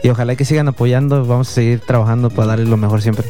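A young man in his twenties speaks as a band guest, earnest and grateful. His tone is mellow and warm, at a middle pitch. Faint music sits behind him. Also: steady tone, rapid-fire. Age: 20s. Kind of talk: casual interview answers.

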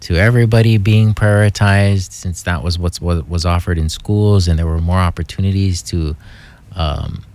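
A man's voice: sits low, steady tone, normal speed, darkish tone. A man from Hawaii, thinking aloud in a casual explanatory monologue, hesitant, with many fillers and restarts.

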